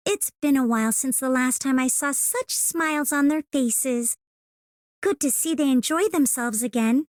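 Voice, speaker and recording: A woman's voice: high-pitched, dry recording, clean studio sound. A woman voicing an earnest, eager young female operator in scripted video game lines.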